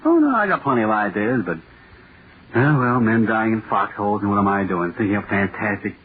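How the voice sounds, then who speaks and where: An actor playing a nervous, overworked male author in 1940s radio drama, halting and muttering to himself. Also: on the low side, big pitch swings. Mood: weary, wry. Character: jittery, overworked male horror-play author, restless and self-doubting. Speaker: radio playwright dictating a script. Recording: scratchy, noisy, tinny old radio broadcast.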